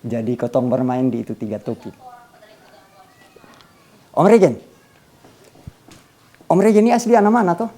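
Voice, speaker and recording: A man's voice: medium pitch, very animated, moderate pace, pauses often, warm tone. A man from Indonesia with a Kupang Malay accent hosting a casual interview, often echoing answers back. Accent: Kupang Malay, eastern Indonesia. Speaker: host of an interview show.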